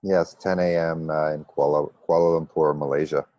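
A middle-aged man with an American accent, telling his personal story conversationally with a halting, pause-filled delivery. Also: extremely low pitch, flat delivery, mellow, dry recording, dull audio. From United States. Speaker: interview guest, meditation coach.

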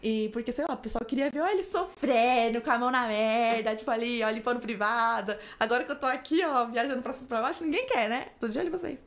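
A woman from Brazil rattles through her stories at a quick clip in a fairly high voice. She chats casually as a podcast guest, full of asides and little quoted voices. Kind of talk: casual chat.

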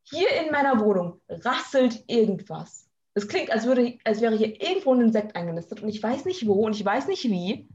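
A teenage woman talking from her apartment in a casual chat, with dry, deadpan humor. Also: a little high, normal speed, few pauses, lo-fi sound, a little hiss or hum. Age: teens.

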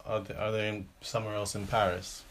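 A man in his thirties with a Jamaican accent, hosting a podcast, talking in an earnest, measured way with many "you know"s. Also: on the low side, level tone, fairly bright tone, a little hiss or hum. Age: 30s. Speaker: podcast host. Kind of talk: casual conversation.

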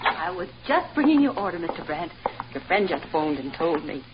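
An actress playing a cheerfully insistent, soothing hotel servant in a vintage radio drama. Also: female voice, on the low side, sing-song, moderate pace. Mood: coaxing. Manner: coaxing, obliging. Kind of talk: 1940s-50s American radio suspense acting. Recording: dull, noisy old radio recording.

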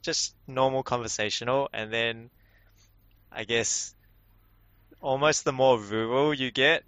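Bright-voiced New Zealand man. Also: medium pitch, fairly even intonation, unhurried, clear, resonant tone, no echo. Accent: New Zealand, Auckland. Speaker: podcast co-host. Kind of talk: casual chat.

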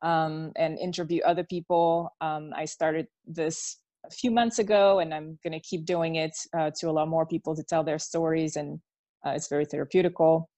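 A woman's voice: low voice, steady tone, moderate pace, warm tone, dry recording. A woman from Belgium speaking informally as an interview guest, explaining things in a conversational way. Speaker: interview guest, podcast host. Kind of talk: informal personal introduction in an online interview.

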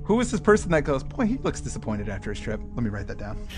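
A man's voice: medium pitch, sing-song, quick pace, over music. A man co-hosting a podcast, explaining things in a casual, conversational way during a book discussion. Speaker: podcast co-host.

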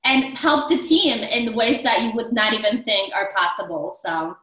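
A woman's voice: on the high side, normal speed, bright, forceful tone, roomy sound, poor audio. A woman in her twenties from Poland with a Polish accent, earnestly giving encouraging career advice to students on a video call. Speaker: alumni mentor.

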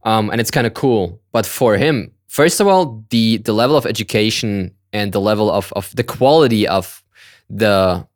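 A man's voice: lowish voice, moderate pace, dry recording, studio quality. A man in his twenties, a Russian native speaker from Kazakhstan, talking casually as a guest and often repeating words while he searches for them. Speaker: music producer guest. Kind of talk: casual conversation.

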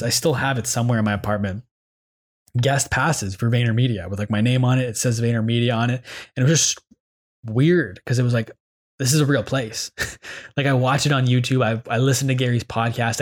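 A young Canadian man hosting a podcast, telling stories in a loose, enthusiastic way with lots of fillers. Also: medium pitch. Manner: rambling, enthusiastic storytelling with many fillers. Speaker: podcast host. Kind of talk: casual reflective monologue.

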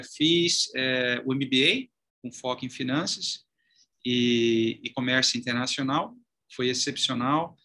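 A man's voice: medium pitch, pushed and bright. A man from Salvador, Brazil, in his forties, a chamber of commerce president telling his career story in an interview, articulate with frequent fillers. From Brazil (Salvador, Bahia). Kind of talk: conversational interview.

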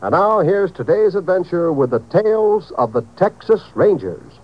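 Lively 1950s American radio announcer, high-set male voice. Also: moderate pace, background noise. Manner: upbeat, polished, salesmanlike. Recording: dull vintage 1950s radio recording.

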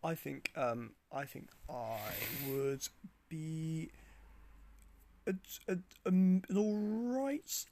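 A man's voice: fairly high pitch, lively intonation, very slow, darkish tone. A man co-hosting a podcast, hesitant and giggly in casual chat, in a small recording booth. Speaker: podcast co-host. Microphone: studio microphone.

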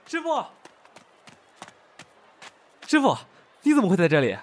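A man's voice: high voice, wildly swooping pitch, measured pace, a little hiss or hum, full character voice. An actor playing an eager, chummy young male manager in an audio drama, friendly and boisterous. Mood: cheerful, coaxing. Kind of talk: Chinese audio drama acting.

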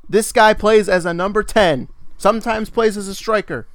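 A man co-hosting a sports podcast, talking in a somewhat high voice. He rants with exasperation and lets out laughs between his points. The sound is dry and close, with no room echo. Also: moderate pace. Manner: animated, exasperated ranting with laughs. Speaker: podcast co-host. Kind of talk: casual sports chat.

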